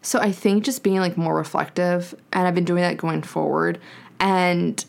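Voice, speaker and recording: A woman of thirty with a low, gravelly voice, musing aloud on a podcast. She talks in a loose, rambling way, filling gaps with "like" and circling back to her point. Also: fairly even intonation, normal speed. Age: 30s. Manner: reflective, rambling with many likes. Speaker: podcast co-host. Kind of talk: casual chat.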